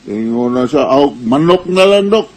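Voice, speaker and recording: A man's voice: mid-range voice, animated, normal speed. A man with a Cebuano Filipino accent, speaking as a doctor giving informal health advice in a conversational manner, mixing in English medical terms. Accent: Filipino, Cebuano Bisaya. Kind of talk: informal medical advice talk answering listener questions.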